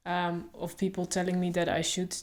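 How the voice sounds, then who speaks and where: Woman who stutters, flat even voice. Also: on the low side, normal speed. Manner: stutters openly with blocks and repeated sounds; calm, reflective, thinks aloud with fillers. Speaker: vlogger. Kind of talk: casual talk to camera.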